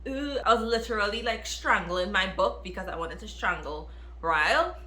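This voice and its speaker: A woman chatting casually to viewers as a book reviewer, with an emphatic, expressive manner that repeats phrases for emphasis. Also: medium pitch, moderate pace. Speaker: book reviewer, video host. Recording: roomy, echoey room.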